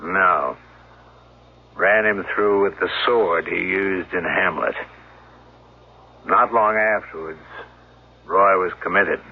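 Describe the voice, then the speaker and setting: Low, husky, measured police officer. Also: male voice, heavily acted. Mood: solemn. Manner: measured, solemn, explanatory. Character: calm, world-weary male police officer recounting a tragedy. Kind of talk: 1970s radio drama acting. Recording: narrow-band 1970s radio broadcast.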